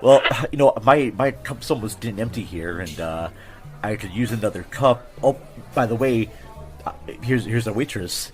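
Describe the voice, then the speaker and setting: A man's voice: on the low side, moderate pace, close to an everyday voice. A man playing a casual, hesitant detective questioning a witness in an audio drama. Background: faint music.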